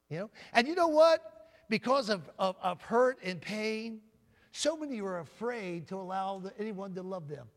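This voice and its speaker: A man preaching a sermon with a loud voice, often calling out "Amen?" and swinging from booming to soft. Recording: long hall reverb.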